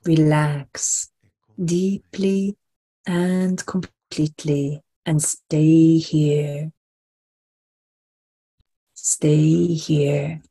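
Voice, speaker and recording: A woman leading a guided relaxation meditation in a soft, breathy voice, soothing and deliberate, repeating phrases with long pauses. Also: low voice, flat delivery.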